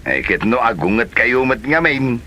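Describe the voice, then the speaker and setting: Casting: male actor as humble young job seeker, Ilocano accent, hesitant and respectful, mid-pitched voice, comedy drama. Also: big pitch swings, normal speed, sharp tone. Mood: familiar, concerned. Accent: Filipino Ilocano. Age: young adult. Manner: hesitant, stammering. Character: polite, bashful young job-seeking mechanic from a poor family. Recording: very noisy location sound.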